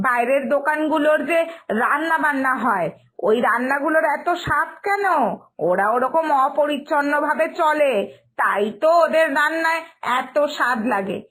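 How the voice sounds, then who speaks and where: A woman's voice: high voice, fairly bright tone. A woman voicing a fussy, scornful middle-aged mother-in-law in a cartoon drama, nagging emphatically with a West Bengal accent.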